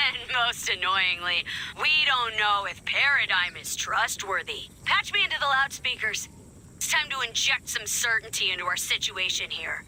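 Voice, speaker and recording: A woman's voice: on the high side, bright, forceful tone, slight background noise, heavily acted, rough recording. A woman voicing an earnest, resolute resistance fighter in a video game, speaking sincerely and with emphasis. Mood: frustrated.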